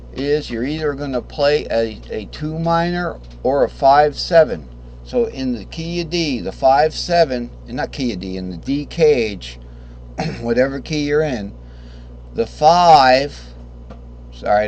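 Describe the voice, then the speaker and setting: A man teaching a casual guitar lesson, hoarse because he is losing his voice, who rambles, corrects himself and often clears his throat. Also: medium pitch, animated, unhurried, darkish tone. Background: guitar playing.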